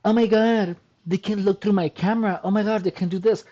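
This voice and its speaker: A man's voice: high voice, fairly even intonation, fairly quick, dark tone, dull audio. A man hosting his own channel, talking straight to his viewers in an emphatic, repetitive way with frequent restarts. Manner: emphatic, repeats "look" and restarts often. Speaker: channel host. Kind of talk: casual talking-to-camera monologue.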